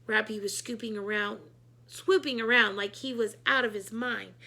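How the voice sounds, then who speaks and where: A woman reading a children's book aloud in an animated, emphatic storytelling style. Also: fairly high pitch, moderate pace, creaky.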